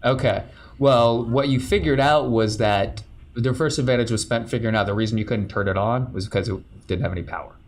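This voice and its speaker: A man's voice: medium pitch, brisk, hardly any pauses. A man narrating and explaining rolls as game master of a tabletop game. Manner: relaxed, explaining rules and scenes.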